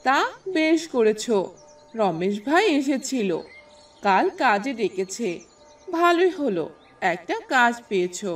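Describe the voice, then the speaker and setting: A woman voicing an outspoken, excitable village wife with a homely, exclaiming manner in a cartoon. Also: a little high, measured pace, distant mic. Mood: homely concern. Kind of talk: Bengali cartoon fairy-tale voice acting.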